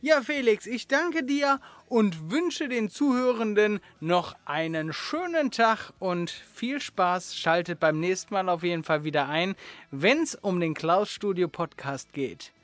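A man's voice: sits high, animated, unhurried, fairly bright tone, no echo. Informal male podcast host, dry close sound. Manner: informal, slangy and encouraging. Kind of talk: casual interview chat with quick-fire questions.

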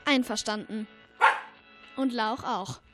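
A woman's voice: on the high side, slow pace, over loud music. A female performer playing a sensible, concerned girl detective in a German radio play. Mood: decided.

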